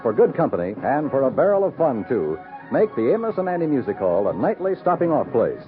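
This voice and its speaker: A man's voice: mid-range voice, big pitch swings, brisk. A man working as a 1950s radio announcer, reading scripted copy in a polished, theatrical manner. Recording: narrow 1950s radio broadcast recording. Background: music.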